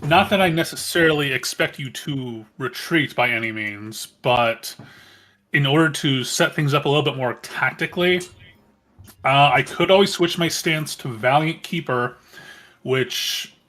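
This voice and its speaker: A man's voice: medium pitch, bright, forceful tone. A man playing a tabletop game, casually talking through tactics with the group.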